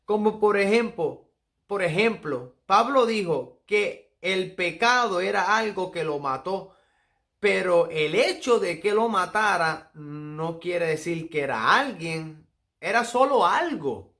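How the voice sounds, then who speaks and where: A man's voice: high voice, animated, fairly bright tone. A man with a Puerto Rican accent giving an earnest Bible study sermon and reading verses aloud. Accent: Puerto Rican Spanish. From Puerto Rico. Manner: earnest, teaching, reads verses aloud slowly. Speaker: preacher.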